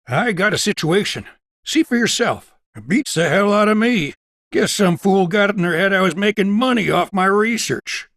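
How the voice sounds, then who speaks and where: An actor playing a wry, folksy small-town doctor in a video game, with a Southern US accent and an easygoing drawl. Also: male voice, high-pitched, animated, normal speed, a bit bright, dry recording, clean studio sound. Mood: wry, casual. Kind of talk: character dialogue in a video game.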